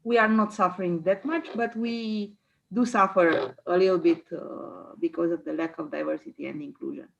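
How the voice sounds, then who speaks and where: A woman's voice: fairly low pitch, fairly even intonation, normal speed, gravelly, slight background noise. A woman, speaking as a panelist on a video call, matter-of-fact. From Romania. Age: 40s. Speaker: panelist, lawyer. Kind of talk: online panel discussion. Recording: dull lo-fi call audio.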